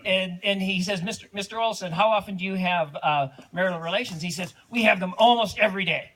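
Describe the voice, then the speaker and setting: A man's voice: high-pitched, fast, fairly bright tone, rough recording. A man playing Lars, a folksy Norwegian-American from North Dakota, telling marriage jokes with an Upper Midwest accent. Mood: deadpan. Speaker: wedding entertainer. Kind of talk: comic duo routine at a wedding.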